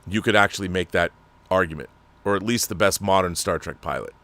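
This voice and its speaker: A man's voice: low voice, a little fast, gravelly, sharp tone, no echo. A man hosting his channel and reading viewer letters, ranting with exasperation and repeating words as he talks off the cuff. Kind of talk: unscripted commentary.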